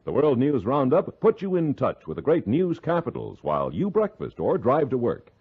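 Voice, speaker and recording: A man's voice: mid-range voice, huge pitch swings, moderate pace, slightly rough. An American man announcing in formal, emphatic 1950s radio style from a script. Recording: muffled old radio, music behind.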